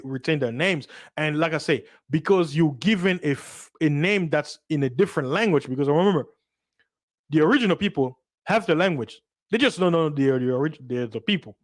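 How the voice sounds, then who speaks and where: A man from Central Africa whose first language is Lingala, talking to a livestream audience in a casual, emphatic monologue full of repetition, restarts and rhetorical questions. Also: fairly high pitch. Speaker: livestream host.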